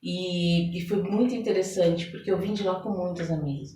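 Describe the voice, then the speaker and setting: A woman's voice: on the low side, steady tone, normal speed, mellow, slight background noise. A Brazilian woman with a São Paulo accent, speaking reflectively as a podcast guest and repeating words for emphasis in a conversational interview. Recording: roomy echo, distant microphone.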